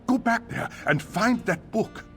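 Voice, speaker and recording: An actor playing a fussy, exasperated innkeeper in a fantasy video game, with a grumbling, theatrical delivery. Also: male voice, high voice, expressive, unhurried. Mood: puzzled, hopeful. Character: fussy, exasperated male innkeeper eager to help. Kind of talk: scripted video game character acting. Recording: music behind, plucked guitar.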